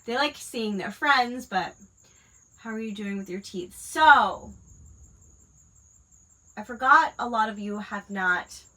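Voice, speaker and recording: A woman's voice: on the high side, animated, slow, pauses often, pushed and bright, low-quality recording. A woman with a stuffy head cold, chatting casually and rambling with fillers while she crafts, a mother of school-age kids. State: congested from a cold. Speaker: crafting livestream host.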